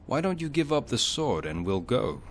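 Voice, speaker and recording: A man voicing a calm, polite warrior hero in a fantasy game, plain and direct. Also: medium pitch, normal speed, a little hiss or hum, close to an everyday voice. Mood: firm. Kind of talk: fantasy game protagonist dialogue.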